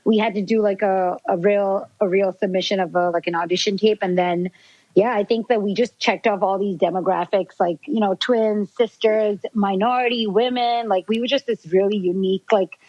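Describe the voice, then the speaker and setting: A woman's voice: medium pitch, fairly even intonation, moderate pace, a little mellow, no room sound, highs cut off. Sri Lankan-accented woman, dry and chatty. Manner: chatty, laughs often, peppered with "like". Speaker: guest. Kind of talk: casual interview.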